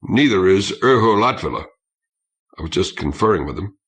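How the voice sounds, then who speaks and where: A man voicing a tired, thoughtful woman aboard a starship in an audiobook, speaking tentatively and softly. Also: sits low, animated, normal speed. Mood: concerned.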